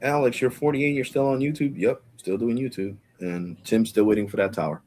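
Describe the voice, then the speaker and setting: A man's voice: medium pitch, brisk, darkish tone, slight background noise. A man in his forties hosting a casual live stream, rambling in slang and calling people bro. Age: 40s.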